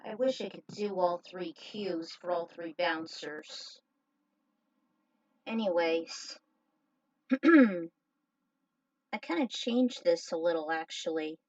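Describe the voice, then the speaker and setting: A woman's voice: fairly low pitch, dry recording, far from the mic, muffled recording. A woman reading a scripted story aloud, breaking off now and then for casual asides. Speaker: narrator reading own fan fiction.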